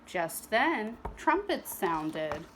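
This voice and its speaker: A woman's voice: mid-range voice, very animated, drawn-out words, a bit bright, a little hiss or hum. A woman narrating a children's storybook expressively, with teacherly asides to young listeners. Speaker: storybook reader.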